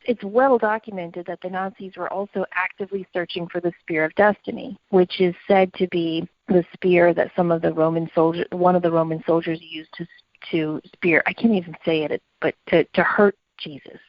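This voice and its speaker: A woman with a slightly low voice co-hosts a conversational podcast. She sounds inquisitive and articulate, stressing her points, on a very dry and somewhat dull recording. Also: fairly even intonation, moderate pace, no echo. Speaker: podcast co-host.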